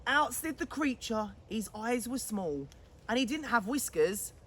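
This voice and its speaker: Animated, high-pitched woman storyteller. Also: measured pace, breathy edge. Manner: dramatic, rhythmic reading of verse. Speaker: children's story reader. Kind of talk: expressive bedtime story narration.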